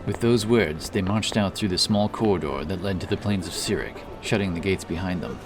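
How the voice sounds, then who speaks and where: Deep male fantasy narrator over loud music. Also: a little fast. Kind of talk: scripted fantasy audiobook narration. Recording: distant voice under loud background music.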